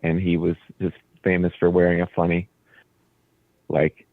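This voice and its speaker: A man whose first language is Croatian talks easily as a radio guest, rambling through long explanations with plenty of fillers. His voice sits very low. The sound is dry and narrow, like a phone line. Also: normal speed, no echo. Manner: relaxed, explains at length with fillers. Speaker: band leader and studio guest. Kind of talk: casual radio interview.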